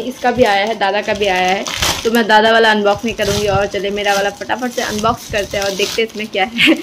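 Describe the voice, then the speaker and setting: A woman's voice: medium pitch, background noise. A young woman chatting casually to viewers at home while she unboxes groceries with her child, in a loose, repetitive way. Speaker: vlogger and mother. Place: indoors at home.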